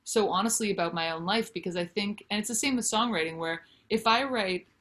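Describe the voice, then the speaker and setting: A woman's voice: mid-range voice, fairly quick. A woman in her thirties, a singer-songwriter and author, talking candidly and animatedly over a video call from home. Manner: candid, animated, gesturing with hands. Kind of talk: casual interview chat. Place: home room with brick wall.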